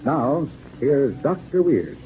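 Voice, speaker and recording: An American man announcing in 1940s radio style, giving a polished sponsor pitch. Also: big pitch swings, takes it slowly. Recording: narrow-band 1940s radio recording, faint noise.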